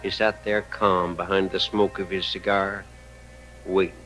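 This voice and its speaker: An American actor as the grave narrator of an old radio western, deliberate and dramatic. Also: male voice, sits low, flat delivery, fairly bright tone. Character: grave storyteller of a western tale. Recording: old radio recording with music.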